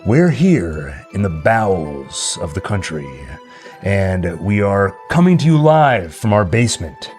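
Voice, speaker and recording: Man acting folksy radio DJ, low pitch, slow theatrical announcer patter, late-night spooky showmanship. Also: very animated, darkish tone, soft background music.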